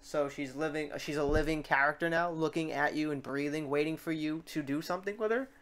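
A tutorial host talks through software steps in a voice of middling pitch. The delivery is informal and rambling, with the speaker thinking aloud while working on screen. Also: fairly quick, slight background noise. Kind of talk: casual software tutorial narration. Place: voice-over for a screen recording.

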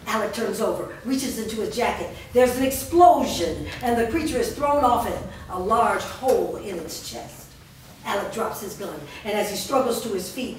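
A woman reading scripted narration as the stage directions reader at a staged reading. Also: a little high. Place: bare studio stage room, indoors. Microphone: far camera. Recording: very echoey room, distant mic, faint noise.

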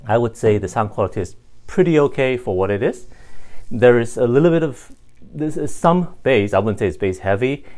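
A man giving a casual, unscripted hands-on product review in a halting, think-aloud manner. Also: medium pitch, lively intonation, a little mellow.

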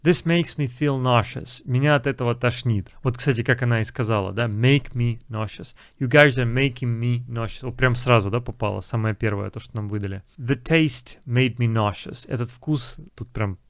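A man's voice: mid-range voice, moderate pace, hardly any pauses, dry recording, clean studio sound. A young man, an English teacher, casually explaining film lines and repeating English phrases.

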